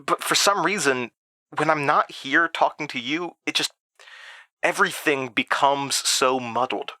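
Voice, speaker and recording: A man's voice: fairly high pitch, unhurried, bright, forceful tone, no echo, studio quality. An actor playing an uneasy man who recounts childhood memories in a confiding, hesitant audio drama monologue. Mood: troubled, wistful.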